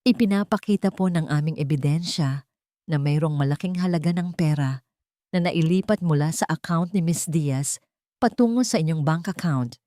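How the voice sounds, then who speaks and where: Determined male trial lawyer for audio drama read by a woman, lowish, deliberate, formal, dry recording. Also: mellow, no echo, natural voice. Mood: pointed, confident. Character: calm, determined male lawyer questioning witnesses in court.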